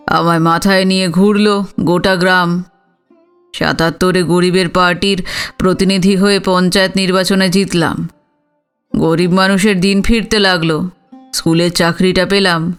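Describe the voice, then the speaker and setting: Dignified older village landowner, male actor, proud, reflective, high-pitched and unhurried, for an audio story. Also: a bit bright, clean tone. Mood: proud, reminiscing. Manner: measured, deliberate, explanatory. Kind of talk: Bengali audio story acting.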